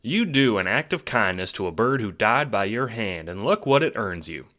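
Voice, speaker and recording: A man's voice: fairly low pitch, sing-song, moderate pace, sharp tone. A man giving wry, profane second-person narration for an audio drama.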